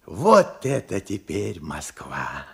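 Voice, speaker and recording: An actor playing an older working-class father, earnest and proud, in Soviet radio drama style, with a husky, creaky, emphatic voice. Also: low voice, wildly swooping pitch, slow, full character voice. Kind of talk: Soviet radio play acting.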